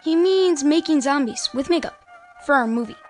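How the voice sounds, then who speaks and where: An earnest boy of ten, as acted in an audio play, with a high voice. He sounds eager and adventurous, a young schemer, over faint background music. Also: lively intonation, moderate pace. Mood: eager, polite. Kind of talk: amateur audio drama acting.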